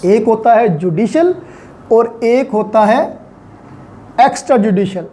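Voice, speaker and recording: High-voiced Pakistani man lecturing, mellow tone. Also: lively intonation, unhurried, a little hiss or hum. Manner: didactic, repeats key points, mixes in English terms. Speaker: law lecturer. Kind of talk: explanatory law lecture.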